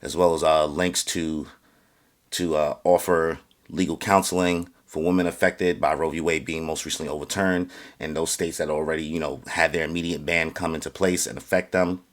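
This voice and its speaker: A man giving an earnest, halting opinion rant to camera, with many fillers and restarts, growing louder and higher as he gets heated. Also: little pitch movement. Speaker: vlogger.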